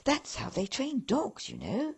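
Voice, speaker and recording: A woman voicing two tiny, cheery male elf brothers who talk in squeaky, excitable, condescending tones. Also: unhurried, gravelly, husky, full character voice. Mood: eager.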